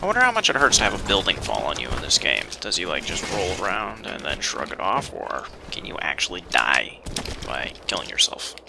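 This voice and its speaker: A man's voice: sits low, wildly swooping pitch, brisk, creaky, noisy background. A man casually commentating a video game as he plays, thinking aloud and swearing mildly. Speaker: let's player. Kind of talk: casual blind let's play commentary.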